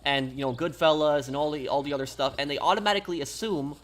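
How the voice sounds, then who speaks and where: A man's voice: a little high, quick pace. An American man from New York chatting casually on a game livestream, rambling and often restarting his phrases. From New York, United States. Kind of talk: casual livestream chat while gaming.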